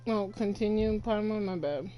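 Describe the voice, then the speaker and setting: A woman's voice: medium pitch, normal speed, over music, poor audio. A teenage female chatting casually and reacting to a game in an offhand, slangy way. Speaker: game streamer. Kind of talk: casual gameplay reaction chat.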